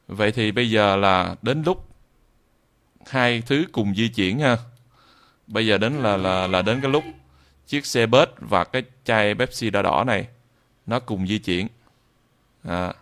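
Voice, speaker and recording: A man with a southern Vietnamese accent walks viewers through software step by step. His voice sits low, with a gravelly creak in it. He speaks off the cuff, halting and repeating himself as he demonstrates. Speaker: tutorial instructor. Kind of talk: screen-recorded software tutorial, improvised.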